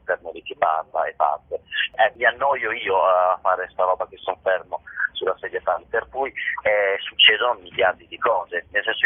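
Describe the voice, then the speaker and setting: Male interviewee, rather low husky voice, casual and searching, repeats words, telephone-quality audio. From Italy, province of Vicenza. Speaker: actor and playwright being interviewed. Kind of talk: informal, spontaneous interview talk. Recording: muffled, narrow telephone-line sound.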